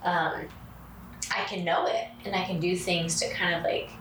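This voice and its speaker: A woman hosting a podcast in a casual chat, with a soothing, even cadence and many fillers. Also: lowish voice, normal speed. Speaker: podcast host. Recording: roomy, distant mic, faint noise.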